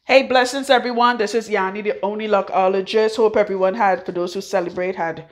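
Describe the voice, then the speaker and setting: A middle-aged Trinidadian woman giving a frank, forceful hair-care talk as a loctician in her salon. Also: medium pitch, fairly even intonation, fairly quick. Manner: blunt, forceful, frank, swears freely. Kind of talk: casual hair-care tutorial.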